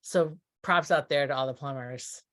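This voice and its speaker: A woman's voice: a bass voice, moderate pace. A middle-aged woman from Texas giving casual interview answers, thinking aloud and correcting herself as she goes. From Texas, United States. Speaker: interview guest, theatre producer.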